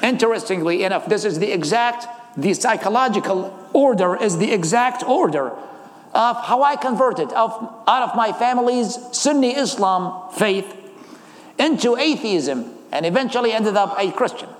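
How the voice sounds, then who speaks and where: A man's voice: high-pitched, lively intonation, moderate pace. A man in his thirties from Iraq with an Iraqi accent, giving a sermon and personal testimony. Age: 30s. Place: large hall, live talk. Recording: echoey hall with long reverb.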